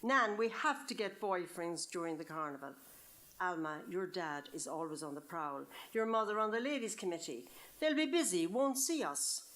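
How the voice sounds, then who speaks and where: A woman's voice: on the low side, lively intonation, normal speed, a bit bright. A woman with an Irish accent voicing eager, scheming teenage village girls in a story reading. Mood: eager, plotting. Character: eager, boy-curious fifteen-year-old Irish village girls, scheming friends.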